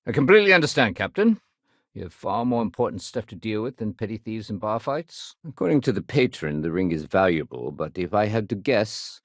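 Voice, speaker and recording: Low-voiced, level-headed security sergeant. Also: male voice, sing-song, a little fast, no echo, studio quality. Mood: dutiful, courteous. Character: male space-colony security sergeant, dutiful, wry, level-headed. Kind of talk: video game character dialogue.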